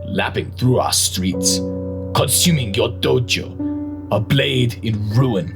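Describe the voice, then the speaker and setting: A man playing a wise old mystic master in an audio drama, speaking in cryptic, poetic phrases with a creaky voice. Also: medium pitch, over loud music. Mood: ominous. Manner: slow, cryptic, poetic speech. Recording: clearly noisy recording.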